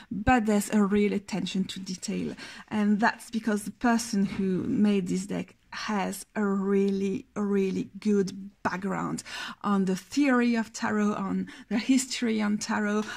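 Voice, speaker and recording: A woman's voice: medium pitch, vocal fry, darkish tone. A tired young woman with a Belgian French accent, a French speaker reviewing a tarot deck in English, hesitant and often searching for words. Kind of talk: casual video review.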